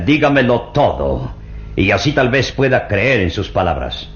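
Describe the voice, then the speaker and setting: A man's voice: fairly low pitch, big pitch swings, unhurried. An actor playing a skeptical, mocking rich man in a vintage radio drama. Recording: dull lo-fi old radio recording with music.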